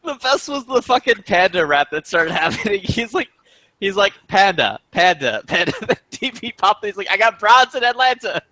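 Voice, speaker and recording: Bright-voiced laughing man, dry sound. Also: high voice, sing-song, moderate pace, no room sound. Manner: laughs often while telling stories. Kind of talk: casual group chat.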